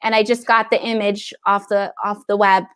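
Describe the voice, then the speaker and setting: A woman's voice: mid-range voice, flat delivery, moderate pace, fairly bright tone, clear, resonant tone. A woman in her thirties hosting a casual online library event in a chatty, upbeat way over an earphone headset. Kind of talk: casual interview chat. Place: indoor room, video call. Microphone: earphone headset on video call.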